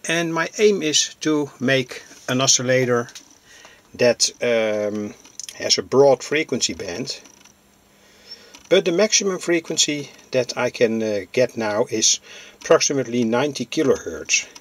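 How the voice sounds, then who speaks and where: A man giving an informal hobbyist demonstration, narrating in halting, deliberate phrases. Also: mid-range voice. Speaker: electronics hobbyist presenter.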